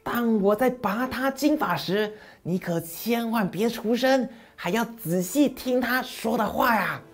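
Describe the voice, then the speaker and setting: A man voicing the devil's old grandmother, talking softly and slowly. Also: extremely high pitch, pitched above the performer's own voice. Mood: conspiratorial.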